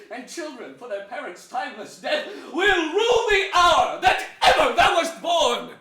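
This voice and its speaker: A man's voice: high voice, bright, forceful tone, heavily acted. A young man playing a grieving, defiant captive king in solemn, impassioned Shakespearean stage declamation. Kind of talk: theatrical Shakespearean stage acting. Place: indoor theater stage before an audience. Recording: echoey theater, distant mic.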